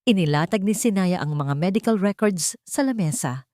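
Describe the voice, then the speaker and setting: A woman's voice: on the low side, lively intonation, normal speed, darkish tone, no echo, studio quality. A woman with a Filipino accent reading a scripted, dramatic love story as its narrator.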